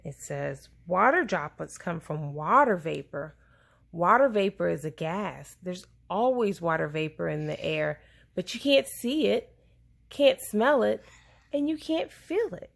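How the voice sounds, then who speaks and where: A woman reading a children's science picture book aloud, phrasing it simply and deliberately for a young listener. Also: lowish voice, expressive, vocal fry.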